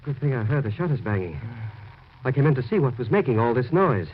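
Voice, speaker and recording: A man's voice: mid-range voice, sing-song, fast, background noise, lightly acted. A man playing an alarmed, scolding doctor in a 1940s radio drama, urgent and stern. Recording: dull old radio recording.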